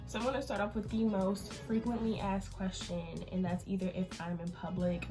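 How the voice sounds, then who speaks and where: A woman's voice: mid-range voice, flat delivery, normal speed, music in the background. An eighteen-year-old woman vlogger with a nasal, congested voice from allergies, chatting casually through a Q&A. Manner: chatty, informal, lots of fillers. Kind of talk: casual Q&A chat to camera.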